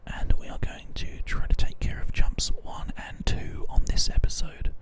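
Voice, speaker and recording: A man whispering ASMR game commentary in a gently explanatory, rambling way. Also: moderate pace. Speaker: host of an ASMR gaming show.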